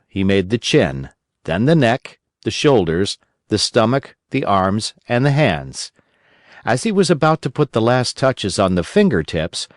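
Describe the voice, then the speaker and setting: A man reading a children's story as an audiobook narrator, in a gentle, expressive storytelling manner. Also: fairly low pitch, no room sound, studio quality.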